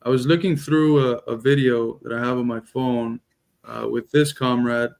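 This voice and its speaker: A Cuban man with a Cuban accent, a guest on the show, telling a story in a halting way with many restarts. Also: mid-range voice, fairly even intonation, vocal fry, darkish tone. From Cuba. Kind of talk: casual chat.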